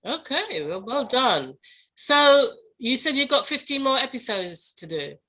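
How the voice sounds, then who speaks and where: A woman's voice: high voice, sing-song, measured pace, bright, forceful tone. A woman interviewer speaking in a conversational style, putting questions and comments to a guest.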